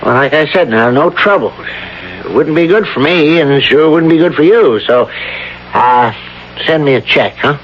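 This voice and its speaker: A man acts out a rough-edged small-town American bar owner for old-time radio. His voice sits fairly high for a man, and his talk is folksy, wary and slangy. The sound is dull with faint noise. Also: expressive, moderate pace, lightly acted. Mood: warning, insistent. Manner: folksy, slangy, hesitant with uhs. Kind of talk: vintage radio drama acting.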